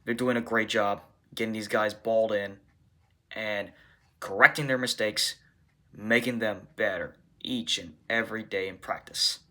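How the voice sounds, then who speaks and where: Male football coach, low-pitched but very bright, forceful tone, deliberate halting phrasing, press conference answers. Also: steady tone.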